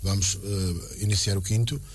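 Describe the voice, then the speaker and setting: A man's voice: low voice, fairly even intonation, normal speed, husky. A man with a European Portuguese accent answering as a club president in a radio interview, explaining with emphatic repetition.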